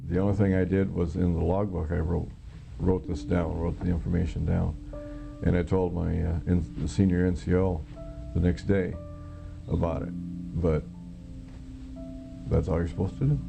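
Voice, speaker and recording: Mature American male interviewee, heavy vocal fry, monotone, matter-of-fact and halting storytelling, plucked guitar underneath. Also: sits low. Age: middle-aged or older. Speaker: interviewee, former Air Force air traffic controller. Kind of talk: recounting a personal experience in an interview. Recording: guitar music playing behind the voice. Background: plucked guitar music.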